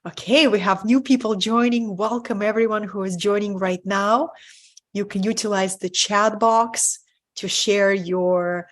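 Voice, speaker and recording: A woman's voice: mid-range voice, fairly even intonation, measured pace. A middle-aged woman from Russia with a Russian accent, presenting a live webinar as a spiritual business mentor in an earnest way and checking in with listeners with "right?". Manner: warm, earnest, often asks "right?" to the audience.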